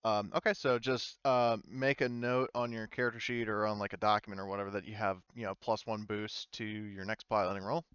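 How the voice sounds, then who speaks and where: A man's voice: on the low side, level tone, a little fast, few pauses, fairly bright tone, clean tone, dry recording, lo-fi sound. A man acting as game master, informally narrating and explaining an online tabletop roleplaying game with hesitant phrasing.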